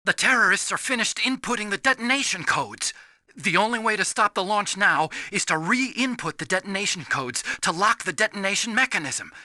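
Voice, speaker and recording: Young american nerd engineer from a video game, high-ish and really animated, earnest, kinda anxious, explains everything. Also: male voice, dry recording, clean studio sound, full character voice. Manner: explanatory, emphatic. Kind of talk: dramatic video game voice acting.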